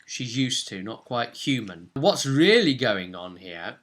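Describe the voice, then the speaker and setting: A man with a bright, forward voice talks as a game master recapping a session. He is chatty and rambling, often tagging questions onto his sentences to get agreement. His pitch sits in a middle male range. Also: sing-song, a little slow. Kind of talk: casual recap of a tabletop role-play session.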